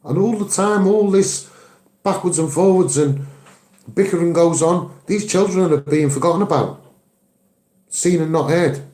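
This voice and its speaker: A man's voice: a little high, unhurried, long pauses, dark tone, reverberant. A man with a Liverpool Scouse accent giving an impassioned campaigning monologue as an activist, earnest and emphatic, repeating phrases for stress. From Liverpool, England. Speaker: activist and online video maker.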